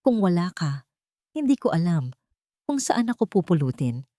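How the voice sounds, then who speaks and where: A woman's voice: fairly low pitch, sing-song, moderate pace, dark tone, dry recording, studio quality. A woman voicing a grateful, loving wife in a romance story, tender and reflective.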